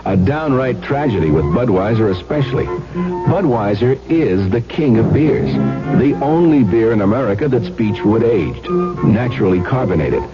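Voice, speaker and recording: A man reads a seventies radio ad, low and wry, with lively swings in his voice. Loud music plays behind him on a dull broadcast recording. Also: normal speed. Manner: wry, conversational. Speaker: commercial announcer. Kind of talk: 1970s radio beer ad.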